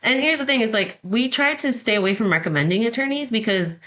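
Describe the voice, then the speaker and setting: A woman's voice: on the high side, lively intonation, fast talker, a bit bright. An American woman speaking as an advocate and interview guest, explaining things in a conversational way. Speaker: podcast guest, court-watch advocate.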